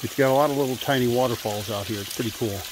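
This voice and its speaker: A chatty man talks into his phone while hiking on a wooded trail outdoors. His voice is of middling pitch, and he is sometimes winded from the climb. Faint wind and running water sit behind him. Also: very fast. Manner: chatty, narrating while walking. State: out of breath at times. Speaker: hiker vlogger. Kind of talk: casual trail vlog. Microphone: handheld phone. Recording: faint wind and stream noise.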